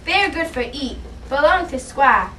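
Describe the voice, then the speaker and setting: A woman's voice: high-pitched, expressive, unhurried, pushed and bright, reverberant, distant mic, slight background noise, heavily acted. A woman voicing a terse young Native boy who speaks clipped broken English. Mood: solemn.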